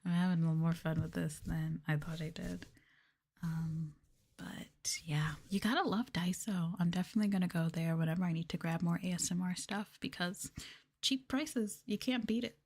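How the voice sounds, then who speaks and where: A woman talking in a soft, breathy voice that often drops to whispers, playful and teasing, chatting casually as an ASMR host close to the mic. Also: lowish voice, normal speed. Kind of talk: soft-spoken ASMR, casual chat with viewers. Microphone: sensitive mic up close.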